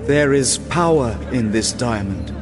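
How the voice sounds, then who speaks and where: An actor playing a proud, stern elf prince in an audio drama, speaking with formal, commanding gravity. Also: male voice, medium pitch, animated, unhurried, natural voice. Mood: solemn, calm. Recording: echoing cavern reverb with music.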